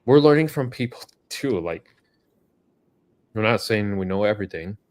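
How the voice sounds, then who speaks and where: Frank man with a low voice. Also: lively intonation, moderate pace. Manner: frank, motivational, tough-love. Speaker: co-host answering chat questions. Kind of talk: casual live-stream chat.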